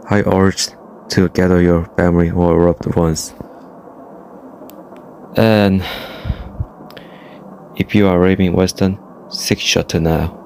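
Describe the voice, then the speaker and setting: Deep-voiced man reading an emergency alert. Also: a little mellow, a little hiss or hum. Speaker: emergency broadcast announcer. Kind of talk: scripted emergency alert announcement.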